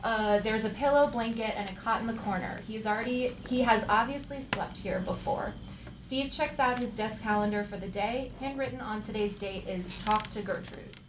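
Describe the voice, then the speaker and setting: A woman with a fairly high voice reads stage directions for a live comedy table read. The room is echoey, with clear background noise. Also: normal speed. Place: live theater.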